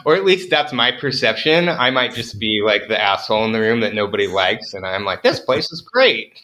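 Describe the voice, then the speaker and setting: A man's voice: lowish voice, sing-song, fairly quick, pushed and bright, rough recording. A young man, a farmer guest on an interview, chatting casually with lots of fillers and self-deprecating jokes.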